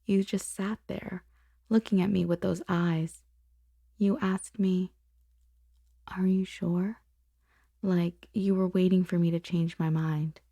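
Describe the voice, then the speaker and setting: Woman performing a conflicted lady boss, forbidden longing, ASMR roleplay, breathy whisper, low-pitched, close dry sound. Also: warm tone, dry recording. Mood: torn, anguished. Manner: slow, intimate, halting delivery. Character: conflicted female boss confessing forbidden desire to her employee. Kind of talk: ASMR romantic roleplay monologue.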